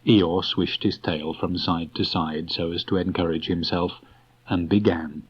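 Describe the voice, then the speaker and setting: Man, children's audiobook narration, measured slow pace, slightly husky, dull cassette recording. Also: low voice, fairly even intonation. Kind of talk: scripted children's story narration. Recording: dull restored cassette tape.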